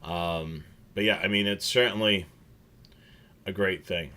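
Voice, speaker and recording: A man's voice: deep voice, steady tone, a little slow, pushed and bright. A man hosting a casual livestream chat, rambling with many fillers and restarts. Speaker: scanner show host.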